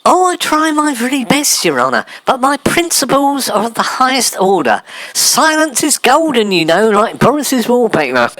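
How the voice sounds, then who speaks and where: A woman plays a soft-spoken comedy town crier with a lively, bouncing voice. He pleads meekly and slips in cheeky excuses. The tone stays gentle and hushed. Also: on the high side, normal speed, no echo. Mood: pleading. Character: whimsical male town crier who talks softly. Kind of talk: comedy sketch.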